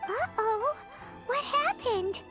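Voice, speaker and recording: A woman voicing a tiny, childlike fairy companion in a video game, squeaky, earnest and curious. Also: very animated, slow, bright, forceful tone, far from the mic, soft background music, heavily acted. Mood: alarmed. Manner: speaks of herself in third person. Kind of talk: video game character acting.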